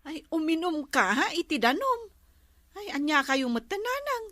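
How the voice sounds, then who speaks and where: A woman's voice: extremely high pitch, sing-song, normal speed, bright, forceful tone, no echo, studio quality, exaggerated voice. A woman with an Ilocano accent playing an exasperated schoolteacher daughter in a village comedy drama.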